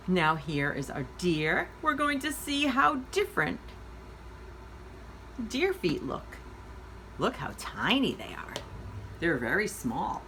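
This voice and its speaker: Female children's educator, unhurried and very lively in intonation, simple encouraging instructions for little listeners, faint noise behind. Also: lowish voice. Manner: simple encouraging phrasing with questions to young listeners. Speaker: children's story time host and educator. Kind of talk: reading and activity instruction for young children.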